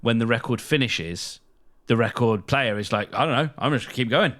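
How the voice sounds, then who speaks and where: A man co-hosting a podcast in relaxed everyday conversation, hesitating and pausing mid-sentence. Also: medium pitch. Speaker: podcast co-host. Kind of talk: casual chat.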